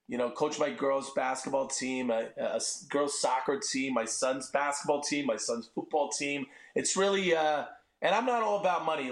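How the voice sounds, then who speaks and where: An excitable man in his forties tells stories on a podcast, swearing freely and laughing at his own jokes. His voice sits fairly high for a man. The room around him is echoey. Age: 40s. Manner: animated, swearing, quick to joke. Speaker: podcast guest, entrepreneur and dad. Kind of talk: casual interview chat.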